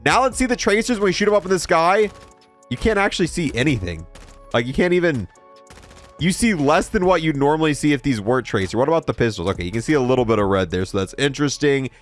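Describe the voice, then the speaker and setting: Animated, higher-pitched man reviewing games. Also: fast talker, faint music behind. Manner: announcing each item, thinking aloud while testing. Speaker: gaming video host. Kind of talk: casual game bundle review commentary.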